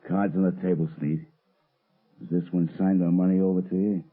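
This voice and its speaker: A man's voice: sits low, little pitch movement, fairly quick. An actor playing a threatening gangster and escaped convict with blunt, slangy tough-guy talk in an old radio drama. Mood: hard, pushy. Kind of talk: 1930s radio drama acting. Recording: muffled, narrow old radio recording.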